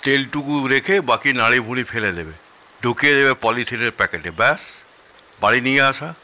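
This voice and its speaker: A man's voice: mid-range voice, expressive, moderate pace, pushed and bright. A man acting an opinionated, nostalgic middle-aged father-in-law who loves his food, in a theatrical audio-drama style. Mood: grumbling. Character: grumbling, nostalgic elder Bengali father-in-law, fond of food.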